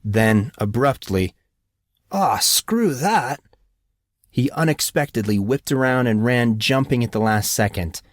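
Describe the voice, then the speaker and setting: A man's voice: on the low side, normal speed, few pauses, no echo. Man narrating fiction, dry close sound. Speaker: audiobook narrator.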